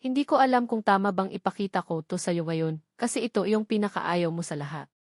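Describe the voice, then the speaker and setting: A woman narrator plays a possessive but gentle billionaire man in a romance audiobook. He is soft-spoken, serious and regretful, with a Filipino accent, mixing two languages. The sound is dry and close. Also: mid-range voice, brisk, no echo, natural voice. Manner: soft-spoken, earnest, code-switching between two languages.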